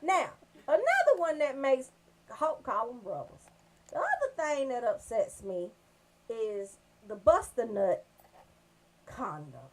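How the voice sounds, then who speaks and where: A woman's voice: a little high, takes it slowly, long pauses. A woman hosting a comedy radio show, delivering raunchy monologue and banter in a brash, emphatic way. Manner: brash, animated storytelling with emphatic check-ins.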